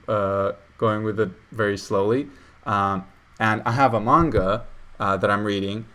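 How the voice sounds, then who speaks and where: A man's voice: lowish voice, fairly bright tone. A young man whose first language is Russian, chatting casually as host, full of fillers and restarts. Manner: hesitant, many fillers and restarts. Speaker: channel host. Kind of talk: casual video call chat.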